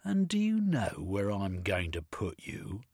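Storybook inspector read by a man: loud, mocking bully, husky, dry close sound. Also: low voice, wildly swooping pitch, a little slow, no echo, heavily acted. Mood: contemptuous. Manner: sneering, mocking, shouting. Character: blustering bullying male police inspector.

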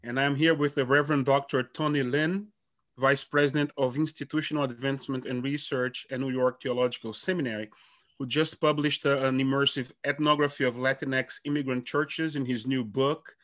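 A man's voice: mid-range voice, steady tone, brisk, fairly bright tone. Friendly male interview host. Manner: friendly, repeats words as he restarts. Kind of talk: conversational interview.

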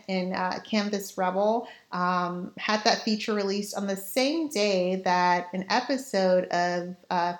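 A young woman hosting a casual solo podcast, chatty and candid, her voice raspy and tired from a late night. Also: mid-range voice, reverberant. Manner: chatty, candid, laughs at herself. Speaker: podcast host.

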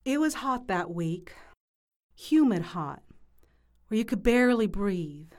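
An actress voices a frisky, confident wife in a comic audio drama. Her delivery is slow and drawn out, at a medium pitch. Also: very animated. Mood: sultry, teasing.

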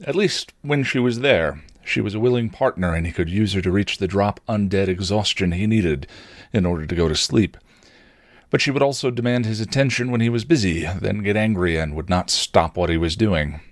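Lowish-voiced man narrating a story. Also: dry recording, studio quality. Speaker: fan fiction reader on a podcast.

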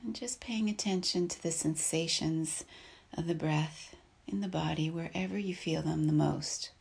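A woman leading a guided meditation in a soft, breathy, husky voice, calm and measured, leaving long pauses between phrases. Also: low voice.

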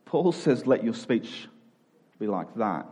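A man's voice: on the low side, big pitch swings, takes it slowly, dark tone, slightly rough. An Australian man giving a conversational sermon to a congregation in an informal, joking manner with rhetorical questions. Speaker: preacher. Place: live before a congregation.

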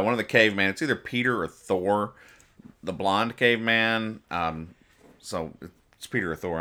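Low-voiced, bright-toned man rambling casually. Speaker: podcast host. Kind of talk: casual comedic read-aloud and explanation of comic strips.

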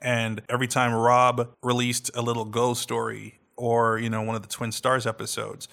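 A man's voice: mid-range voice, completely flat delivery, gravelly, fairly bright tone. A man co-hosting a podcast and producing audio dramas, talking casually and stopping often to restart his sentences. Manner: halting, with many restarts and fillers.

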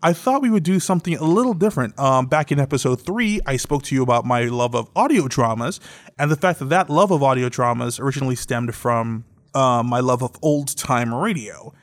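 A man's voice: mid-range voice, brisk. A man co-hosting a podcast in a casual, bantering style, playfully argumentative. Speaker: podcast co-host.

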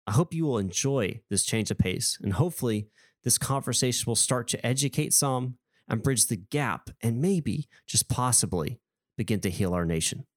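A man hosting a podcast, reading a measured, scripted introduction and then introducing his guests, while he has a cold and sounds sniffly. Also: mid-range voice, animated, no room sound, studio quality. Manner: measured, deliberate delivery. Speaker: podcast host.

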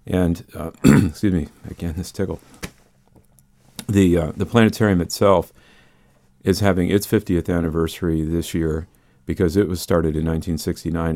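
An older man with a baritone voice, explaining and telling stories as the guest in a conversational radio interview. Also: flat delivery, brisk, gravelly, dark tone, dry recording. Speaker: interview guest, space scientist and musician.

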